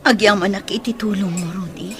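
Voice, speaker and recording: A woman's voice: mid-range voice, fairly even intonation, fairly quick, lightly acted. A woman acting a careworn, struggling mother with a Filipino accent in a radio drama. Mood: composed, motherly. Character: careworn, struggling mother of a teenage daughter, quarrelling with her husband.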